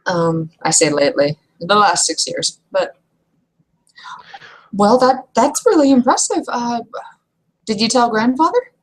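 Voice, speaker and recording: A woman's voice: fairly high pitch, expressive, a little slow, pauses often, pitched above the performer's own voice. A woman playing a blunt, curious, gossipy fantasy woman in a tabletop game, talking in a breezy, teasing way. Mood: impressed, curious.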